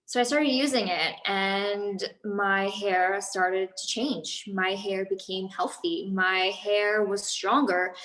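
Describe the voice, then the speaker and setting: A woman's voice: medium pitch, steady tone, takes it slowly, few pauses, a bit bright, roomy sound, rough recording. A woman in her thirties, a business founder, chatting casually in an interview with many fillers and restarts. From Los Angeles, USA.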